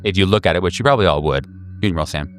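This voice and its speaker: Very low-voiced male game master. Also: racing, faint music behind. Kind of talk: casual game refereeing.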